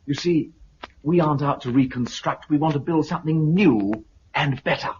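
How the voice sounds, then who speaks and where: A man with a British accent playing a brisk, confident recruiter for a survivors' community in a 1950s radio drama. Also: a little high, natural voice. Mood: persuasive. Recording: muffled, noisy 1950s radio recording.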